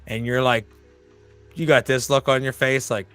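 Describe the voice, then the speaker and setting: A man's voice: medium pitch, level tone, normal speed, a bit bright, faint music behind. A man hosting a podcast in a casual chat, telling stories, swearing freely and acting out his own reactions. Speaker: podcast host.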